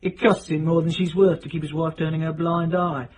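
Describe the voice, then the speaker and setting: A man's voice: fairly high pitch, little pitch movement, brisk, natural voice. An actor playing a cocky, hard-edged, self-made middle-aged British man in a radio drama, talking in brash, sardonic, colloquial clipped phrases. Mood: sly, scheming.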